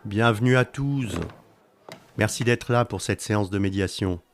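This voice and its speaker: A man's voice: lowish voice, unhurried, background noise. A man playing an earnest, slightly disorganised mediation facilitator in an audio drama, formal and procedural. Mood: earnest, flustered. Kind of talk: French audio drama dialogue.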